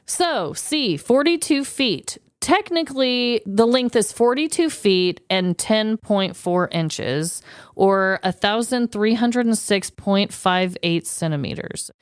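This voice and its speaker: A woman's voice: mid-range voice, lively intonation, takes it slowly, clean tone, dry recording, studio quality. An American woman co-hosting a podcast, chatting casually and informally as she shares trivia. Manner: chatty, informal, quizzing her co-host. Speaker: podcast co-host.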